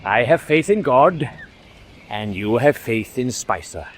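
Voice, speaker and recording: Actor as devout, mid-pitched sailor. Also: male voice, expressive, measured pace, a little hiss or hum, natural voice. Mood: calm faith. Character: devout, calmly trusting British navy man. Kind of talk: radio drama acting.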